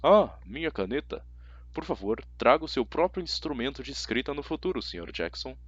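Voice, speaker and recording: A man's voice: mid-range voice, big pitch swings, a little fast, husky, no echo, dull audio. A man playing a mild, distracted male teacher who speaks formally and without hurry, in an audiobook. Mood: mildly distracted.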